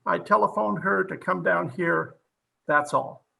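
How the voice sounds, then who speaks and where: Male actor as frustrated station captain, heavy gravel, unhurried, commanding, old-time radio drama, video call recording. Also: sits high, bright, forceful tone, full character voice. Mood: stern, weary. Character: stern, frustrated police captain in charge of a station.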